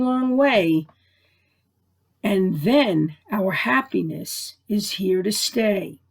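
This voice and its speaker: A woman voicing a sweet, earnest little girl lamb from a storybook, speaking with wonder. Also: mid-range voice.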